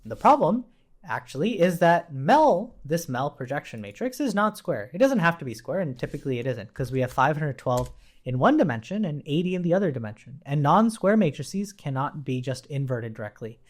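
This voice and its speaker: A man's voice: fairly high pitch, very animated, fairly quick. A man giving an informal coding tutorial, thinking aloud as he explains, repeating phrases and checking in as he goes. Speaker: programming instructor. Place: indoors at a computer with keyboard typing.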